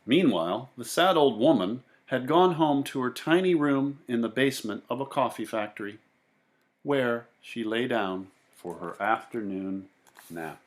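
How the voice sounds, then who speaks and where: A man reading a children's story aloud in a theatrical, expressive way. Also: medium pitch, unhurried. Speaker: storybook reader. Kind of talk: animated children's story narration.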